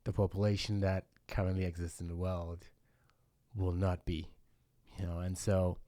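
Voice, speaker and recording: Male podcast host, low voice, mellow tone, dry close sound, reflective, casual chat. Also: level tone, moderate pace, no room sound. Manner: reflective, thinks aloud with many "you know" and "like" fillers.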